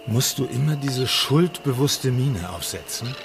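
A blunt male investigator in a radio crime drama presses with insistent, needling questions. His voice is creaky and sits at a middling pitch. Music plays clearly behind him. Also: lively intonation, unhurried. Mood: needling, skeptical. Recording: studio radio play with music underneath.

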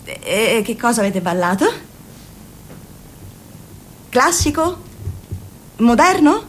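A woman's voice: a little high, sing-song, slow pace, slight background noise, low-quality recording. An actress playing an overbearing mother who turns from bitter scolding to doting, in a radio play. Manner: dramatic, swings from scolding to cooing. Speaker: mother of two grown children.

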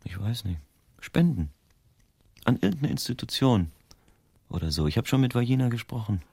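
An actor playing a world-weary private detective in a German radio drama, explaining things bluntly in colloquial speech. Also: male voice, mid-range voice, sing-song, moderate pace, vocal fry, warm tone, breathy edge, no room sound, exaggerated voice. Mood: wry, dismissive.

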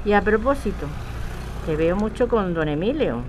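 A woman playing a brisk, caring grown-up daughter who runs a bar, in a naturalistic audio drama. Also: medium pitch, expressive, noisy background, natural voice. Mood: teasing, caring.

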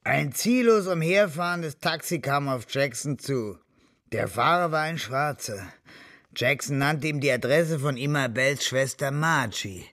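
A man's voice: mid-range voice, expressive, unhurried, a bit bright. A man reading scripted narration for an audio crime drama. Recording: music under the voice. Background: music.

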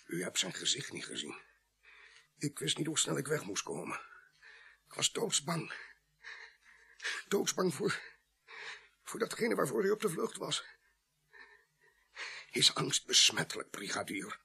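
An actor playing a terrified, shaken witness in a radio play, his voice husky and breathy with fear. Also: a little high, sing-song, unhurried, long pauses, pushed and bright, full character voice. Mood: fearful, haunted. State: frightened. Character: terrified, shaken male driver being questioned. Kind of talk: radio drama dialogue.

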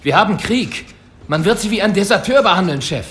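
An actor playing a worried, loyal police subordinate, urgent and deferential, in a German radio crime drama. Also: male voice, sits high, lively intonation, a little fast, a bit bright. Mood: urgent, concerned.

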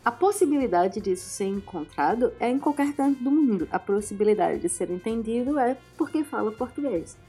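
A woman podcast host talking casually, pausing to search for words. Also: fairly high pitch, lively intonation, dark tone, faint music behind. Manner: conversational, searches for words, drawn-out fillers.

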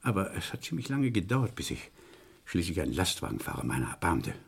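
A meek male clerk giving a statement in a radio crime play. His voice is very husky and creaky, swinging widely in pitch. He hesitates and apologizes politely as he goes. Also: huge pitch swings, brisk, full character voice. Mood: apologetic, rambling.

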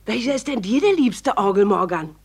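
An actress in a radio play as a gossiping, chattering village wife. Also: female voice, mid-range voice, lively intonation, normal speed, slightly rough. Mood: gossipy.

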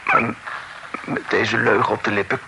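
An actor playing a proud, mortally wounded gentleman in a radio drama, confessing remorsefully in weak, halting, broken phrases. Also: lowish voice, fairly even intonation, exaggerated voice, rough recording. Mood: remorseful, pleading. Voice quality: breathy, halting, weakened. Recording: radio recording with faint noise.

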